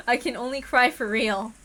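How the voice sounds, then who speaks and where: High-voiced woman reading a visual novel. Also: slow, rough recording. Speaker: narrator and reader. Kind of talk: dramatic visual novel read-aloud. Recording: loud music under the voice.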